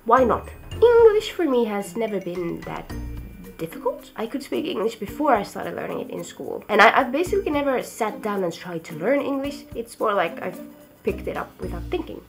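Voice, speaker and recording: Lively playful woman with Swedish accent. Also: medium pitch, normal speed, creaky. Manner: chatty, playful, giggly. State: ill. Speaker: vlogger. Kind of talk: casual chat to camera. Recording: background music under the voice.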